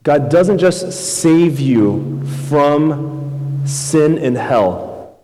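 A man in his thirties from Houston, preaching a relaxed, conversational sermon as a guest youth pastor, with many fillers and questions to the crowd. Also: a little high, extremely slow. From Houston, Texas. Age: 30s. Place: church sanctuary. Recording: long reverb in a large hall.

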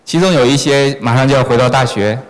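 A man's voice: medium pitch, fast. A man interpreting a sermon phrase by phrase in a church. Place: large reverberant church hall. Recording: echoey hall with long reverb.

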